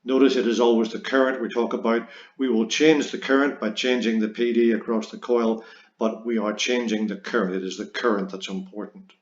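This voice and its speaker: A man's voice: on the low side, fairly even intonation, fairly quick. A man teaching a physics lesson, explaining step by step and stressing key words. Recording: roomy echo, dull lo-fi sound.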